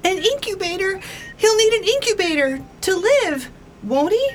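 A woman's voice: very high voice, lively intonation, moderate pace, very noisy. A woman playing a dry, practical, anxious pregnant wife in a radio play. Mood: straining, alarmed. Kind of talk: radio drama acting.

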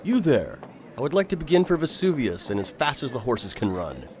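An actor playing an earnest, determined gentleman hero in a radio drama, speaking gravely and formally. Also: mid-range voice, wildly swooping pitch, brisk, a little hiss or hum. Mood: hurried. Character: earnest, determined gentleman hero recounting his perilous adventure. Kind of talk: radio drama narration and acting.

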